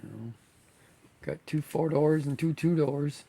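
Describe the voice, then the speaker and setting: A relaxed man chatting to his viewers about his model builds. His voice is gravelly and a little hoarse, and he rambles with plenty of fillers. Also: fairly high pitch, measured pace. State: slightly hoarse, losing voice. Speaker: scale model builder and channel host. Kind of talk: casual chat to viewers.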